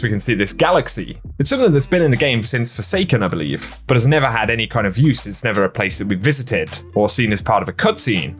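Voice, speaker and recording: Man with a very animated delivery. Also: mid-range voice. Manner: chatty, informal YouTuber delivery. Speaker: video host. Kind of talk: video game commentary voice-over.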